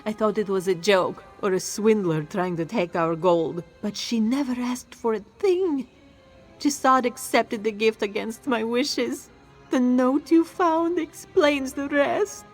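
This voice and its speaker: Fantasy game role, female, acted: worried, mourning beloved, bitter edge, slightly high voice, faint score behind. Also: measured pace, faint music behind. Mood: grieving, bitter. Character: anxious, grieving, bitter woman missing her beloved. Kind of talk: scripted fantasy game drama.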